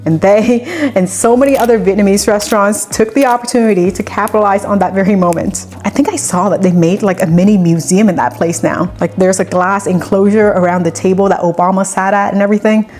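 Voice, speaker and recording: A woman's voice: medium pitch, steady tone, fairly quick, darkish tone. Chatty woman hosting a cooking video. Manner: chatty and conversational, with personal asides. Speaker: cooking channel host. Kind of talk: cooking tutorial with personal chat. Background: faint background music.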